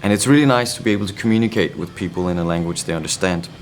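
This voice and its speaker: A man from Denmark with a Danish accent, a band member answering interview questions in a reflective way, often pausing to search for words. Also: deep voice, slight background noise.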